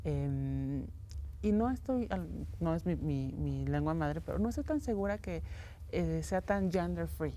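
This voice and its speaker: A woman's voice: low-pitched, expressive, measured pace, dark tone, slight background noise. A middle-aged woman, an academic guest on a studio interview show, speaking thoughtfully with frequent fillers and tag questions. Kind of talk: conversational interview. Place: television studio.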